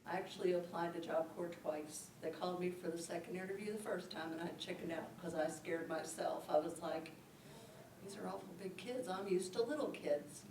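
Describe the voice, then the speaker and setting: Mellow plainspoken indiana woman telling a funny story, kinda roomy, mic a bit far. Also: on the low side, a little fast, a little hiss or hum. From Indiana, USA. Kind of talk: interview storytelling.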